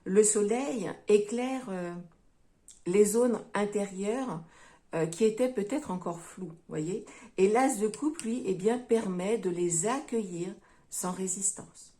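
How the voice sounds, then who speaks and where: A woman giving a tarot reading to her viewers, speaking gently and unhurriedly, often pausing with hesitations and calling them her friends. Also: medium pitch. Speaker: tarot reader.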